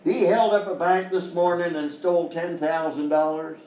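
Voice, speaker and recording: A man's voice: on the high side, fairly even intonation, normal speed, mellow, lo-fi sound, close to an everyday voice. A man playing a blustering, folksy Old West cowboy with a Western American drawl in a live radio-style comedy sketch. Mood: boastful. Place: live performance in a hotel venue. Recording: roomy live stage, distant mic, faint noise.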